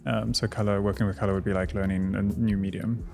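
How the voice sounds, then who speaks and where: A young man with a South African accent, an artist hosting a casual chat, talking in a measured, thoughtful way. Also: on the low side, level tone, vocal fry, a little mellow, soft background music. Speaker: artist and channel host.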